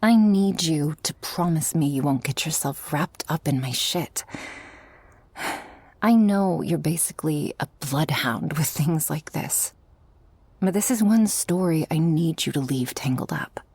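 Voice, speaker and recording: A woman voicing an affectionate, protective, dominant shark girl in an intimate ASMR roleplay, with a breathy voice that often drops to a whisper. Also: deep voice, a little mellow, no room sound, full character voice. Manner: slow, teasing, tender and commanding.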